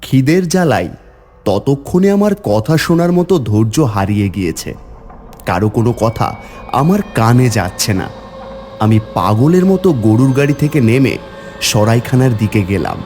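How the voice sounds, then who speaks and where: A village man played by a man tells his own ghost tale for a horror cartoon. His mellow voice is very animated and theatrical, swinging widely in pitch. Faint music runs beneath. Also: moderate pace, close to an everyday voice. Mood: grave narration. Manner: theatrical, rising into high pleading. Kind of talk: dramatic first-person horror story narration.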